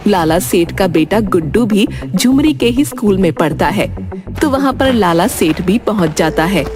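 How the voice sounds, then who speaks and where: A woman's voice: medium pitch, sing-song, unhurried. A woman narrating a scripted cartoon moral tale as its storyteller. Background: background music.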